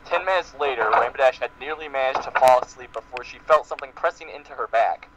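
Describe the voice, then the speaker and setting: A man's voice: medium pitch, quick pace, crowd noise. A man giving an amateur dramatic reading of fan fiction as part of a group. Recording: muffled lo-fi voice-chat recording.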